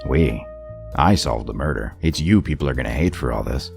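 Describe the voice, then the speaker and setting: Radio play role: man voicing a gruff wolfish sheriff, extremely deep, heavy gravel, terse and dryly teasing. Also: expressive, brisk, a little mellow, soft background music, exaggerated voice. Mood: sardonic. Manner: laconic, dry teasing. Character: gruff, sardonic male wolf lawman, loyal underneath. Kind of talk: audio drama dialogue.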